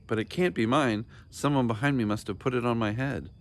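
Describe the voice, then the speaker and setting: A man's voice: medium pitch, brisk, natural voice. A man voicing a timid young commoner in a storybook, meek, polite and earnest as he addresses a king. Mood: bewildered, apologetic.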